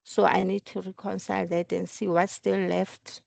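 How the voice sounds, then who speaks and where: A woman explaining work procedures in a meeting, halting at times and repeating her phrases. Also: medium pitch, little pitch movement, gravelly, a little mellow, no echo. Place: recorded online work meeting.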